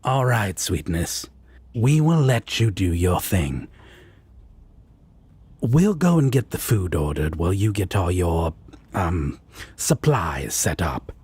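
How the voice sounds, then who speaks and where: A man playing a teasing, easygoing devil roommate in an audio drama, with a husky, raspy voice and a drawling, fond manner. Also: deep voice, animated, slow, long pauses, a little mellow, heavily acted. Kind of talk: roleplay audio drama, comfort scene.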